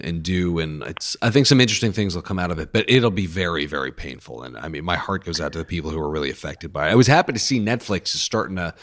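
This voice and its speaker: A man hosting a podcast, an easygoing and agreeable interviewer in casual chat. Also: low-pitched. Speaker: podcast host.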